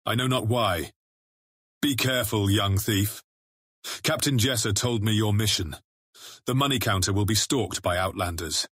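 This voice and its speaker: Husky, terse fantasy ranger. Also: male voice, lowish voice, moderate pace, pauses often, fairly bright tone, no echo, clean studio sound. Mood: solemn warning. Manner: clipped, solemn, formal speech. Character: terse, grave male ranger of a fantasy realm. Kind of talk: dramatic audiobook acting.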